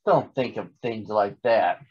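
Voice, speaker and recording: A man's voice: on the low side, unhurried, darkish tone, husky, muffled recording. A man voicing a smug, chatty male storybook monster who gabs breezily and is devoted to his mother. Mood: breezy, instructing. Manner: gabbing and jabbering, breezily dismissive.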